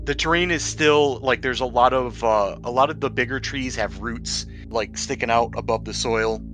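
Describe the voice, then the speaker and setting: A man's voice: medium pitch, moderate pace, over music. A man running a tabletop game, narrating scenes and explaining rules in an improvised way. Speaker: game master of a tabletop RPG podcast.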